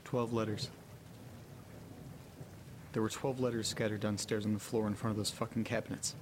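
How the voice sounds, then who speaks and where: An actor playing an anxious young man alone in a creepy house, talking haltingly to himself in a horror audio drama. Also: on the low side, noisy background, lightly acted. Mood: wary, puzzled. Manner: halting, muttering to himself, swearing. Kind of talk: horror audio drama, first-person monologue.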